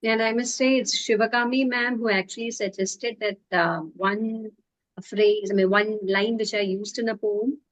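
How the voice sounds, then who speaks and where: A woman speaks as a poet on a literary panel. Her voice is mid-pitched, and the recording is close and dry. Her tone is conversational. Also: fairly even intonation, normal speed, no echo, low-quality recording. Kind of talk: panel discussion.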